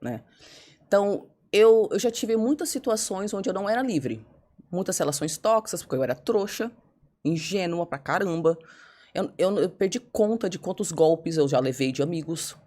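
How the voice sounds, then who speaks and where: A woman's voice: on the low side, animated, moderate pace, dark tone. A woman guest on a podcast, telling a personal story candidly and acting out her own past words.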